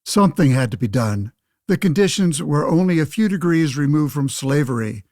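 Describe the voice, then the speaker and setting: An actor playing a pompous, self-righteous 1911 state senator in a radio play, speaking in formal, declamatory phrases. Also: male voice, a little high, lively intonation, normal speed, a little mellow, no echo, clean studio sound, close to an everyday voice. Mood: proud, assured. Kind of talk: pseudo-radio play interview and oratory.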